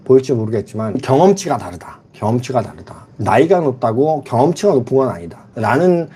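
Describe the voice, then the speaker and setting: Middle-aged guy doing a casual livestream, reads chat out and answers straight, kinda mellow low-key voice. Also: animated, fairly quick, slightly rough. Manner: blunt, reads chat comments aloud and replies frankly. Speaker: livestream host answering viewer comments. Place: lives in the Philippines.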